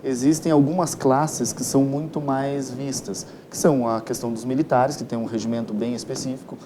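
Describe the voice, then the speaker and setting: A man's voice: medium pitch, fairly even intonation, a little fast. Formal Brazilian man over noticeable background noise. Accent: Brazilian Portuguese. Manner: formal, precise, legalistic wording. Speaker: interview guest, electoral lawyer. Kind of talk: formal explanatory interview answers. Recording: clear ambient background noise.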